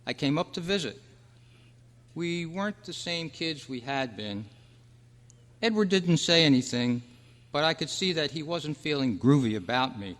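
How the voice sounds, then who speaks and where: A man's voice: on the high side, animated, moderate pace, long pauses, a bit bright. Man acting a candid young man, echoey hall. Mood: casual, candid. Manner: casual, hesitant, slangy. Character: candid, regretful young man, former boy grown up. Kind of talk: old-time radio style stage acting. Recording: echoey hall at a club meeting.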